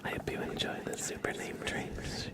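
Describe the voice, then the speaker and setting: A man hosting an ASMR livestream, speaking in a gentle, mostly unvoiced whisper and repeating phrases unhurriedly. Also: moderate pace. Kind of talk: ASMR, greeting viewers and tracing their names.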